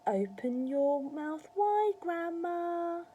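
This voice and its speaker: A woman's voice: extremely high pitch, lively intonation, very slow, mellow, lo-fi sound, a little hiss or hum, pitched above the performer's own voice, exaggerated voice. A woman voicing a cheeky, polite young grandson in a children's storybook, with an eager, exclamatory manner. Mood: innocent, encouraging.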